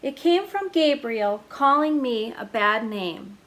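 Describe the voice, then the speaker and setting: A woman's voice: a little high, animated, slow pace, a bit bright. A woman voicing a young boy with hurt feelings, talking in an earnest, childlike way.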